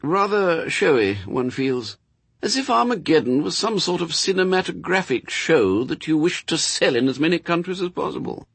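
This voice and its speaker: A man's voice: a little high, big pitch swings, normal speed, creaky, fairly bright tone, husky, no room sound, pitched above the performer's own voice, full character voice. A man voicing a prim, fussy, genteel angel with polite, old-fashioned, mannered phrasing in an audiobook story. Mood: prim disapproval.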